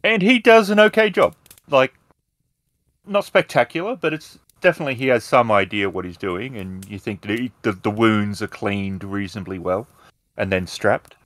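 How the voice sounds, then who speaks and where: A man's voice: fairly low pitch, normal speed, fairly bright tone, no room sound. A man improvising narration as the storyteller of a tabletop role-playing game.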